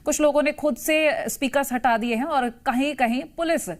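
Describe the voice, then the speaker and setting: An Indian woman anchors live TV news in a fairly high voice. She presents and hands over to reporters as a studio news anchor would. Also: moderate pace.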